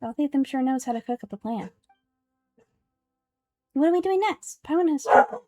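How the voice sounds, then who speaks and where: A woman voicing a tiny, excitable, childlike female fairy companion from a video game, perky and boastful with a squeaky voice. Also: moderate pace, over loud music. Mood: excited, proud. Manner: perky, boastful, speaks of self in third person.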